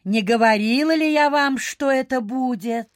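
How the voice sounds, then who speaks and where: A woman playing a callous, self-serving gentlewoman in a radio play, dramatic, pleading and insistent. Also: high voice, normal speed, no room sound, studio quality, natural voice. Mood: urgent pleading.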